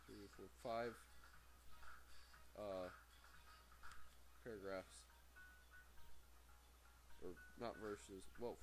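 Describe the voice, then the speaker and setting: A man reading aloud from a book as an amateur narrator, stumbling over words, correcting himself and dropping in casual asides. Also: lowish voice. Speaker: amateur audiobook reader.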